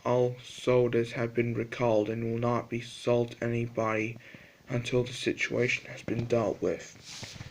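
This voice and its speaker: A synthetic-sounding man delivers an emergency broadcast announcement. His pitch is average and barely moves. He reads the scripted warning very slowly, in a formal and impersonal way. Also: low-quality recording. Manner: flat, monotone, formal delivery.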